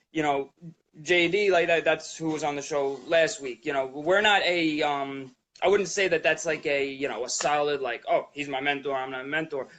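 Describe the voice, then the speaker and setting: A man's voice: fairly high pitch, fairly bright tone. A man hosting an interview show, talking in a rambling, encouraging way with many fillers. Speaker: show host. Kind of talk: casual interview talk.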